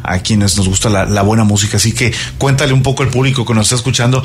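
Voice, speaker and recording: A man's voice: on the low side, little pitch movement, fast, slight background noise. A man with a Mexican accent hosting a radio interview, with friendly, playful banter. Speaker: radio host.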